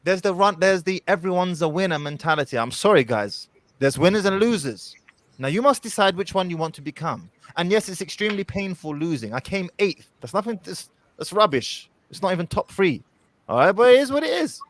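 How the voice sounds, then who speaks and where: A man in his thirties, a podcast guest, talking bluntly and forcefully. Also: sits high, big pitch swings, brisk, no room sound. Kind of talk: motivational podcast talk.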